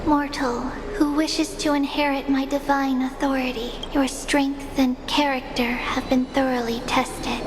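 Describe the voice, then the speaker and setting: A woman voicing a solemn divine echo of a titan in a video game, speaking formally and gravely as she tests mortals. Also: high voice, little pitch movement, unhurried, few pauses, noisy background. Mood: solemn, commanding. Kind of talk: scripted game voice acting. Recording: long reverb, music underneath.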